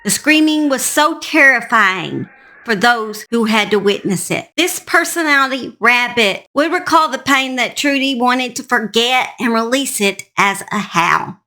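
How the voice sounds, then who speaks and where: A woman's voice: on the high side, animated, measured pace, pushed and bright. A woman with a Southern US accent, earnestly narrating true crime stories as an online host. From Southern United States. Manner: earnest, serious.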